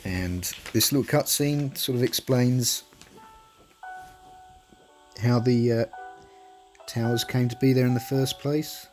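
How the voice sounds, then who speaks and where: A man's voice: mid-range voice, takes it slowly, long pauses, a little mellow. A man casually commentating his speedrun, a little nasal from a cold, rambling with many ums and uhs. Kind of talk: casual live commentary over gameplay. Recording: game music playing behind the voice.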